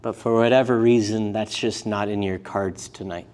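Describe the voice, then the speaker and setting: A man teaching a live yoga and meditation class, speaking gently in short, unhurried phrases with pauses between them. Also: on the low side, steady tone. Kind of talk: guided meditation class instruction.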